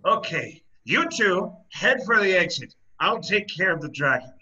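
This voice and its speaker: A sarcastic cartoon ogre acted out by a man, with a very bright, forceful voice and lively swings in pitch. He is blunt, impatient and dryly dismissive. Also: unhurried, slightly rough, lo-fi sound, full character voice. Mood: wry, commanding. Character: gruff sarcastic male ogre, impatient reluctant rescuer. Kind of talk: comic animated-film character acting.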